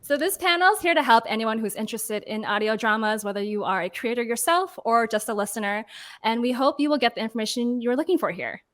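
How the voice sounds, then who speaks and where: Higher-voiced woman moderating a panel. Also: expressive, fast, a bit bright. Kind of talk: hosting a panel discussion.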